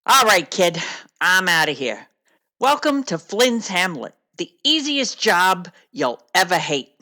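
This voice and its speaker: A jaded, no-nonsense stage manager in a radio play. Her voice is slightly low and hard-edged, with sardonic, animated swings in pitch. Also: full character voice. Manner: brisk, sardonic, world-weary.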